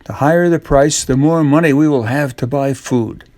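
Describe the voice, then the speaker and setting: A man's voice: medium pitch, moderate pace, a little mellow, no room sound, natural voice. A man voicing a poor, weary widowed mother in a children's storybook. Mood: gentle, practical.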